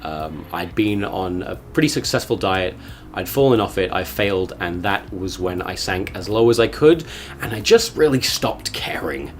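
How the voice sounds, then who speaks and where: A man's voice: low-pitched, expressive, moderate pace, soft background music. A man talking to his audience in a confessional, partly scripted vlog, with a self-deprecating way of speaking. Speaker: video creator documenting his weight loss.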